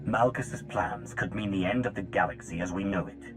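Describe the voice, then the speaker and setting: An actor playing a proud, impatient man of high status in a video game, with a haughty, demanding delivery. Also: normal speed, over music, close to an everyday voice. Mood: scornful, impatient. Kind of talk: video game cutscene dialogue.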